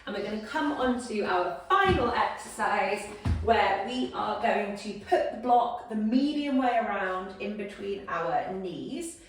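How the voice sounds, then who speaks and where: Woman, fitness instructor, calm cueing, slight fry, recorded off-mic in a very echoey room. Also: medium pitch, distant mic. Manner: calm step-by-step cueing, counting down. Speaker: posture instructor. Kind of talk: guided exercise instruction.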